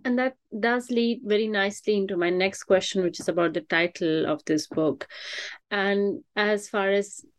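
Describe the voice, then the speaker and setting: A woman from India interviews a scholar in a mid-pitched voice. She phrases her questions politely and carefully, with a few fillers along the way. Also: steady tone, moderate pace. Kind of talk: academic interview.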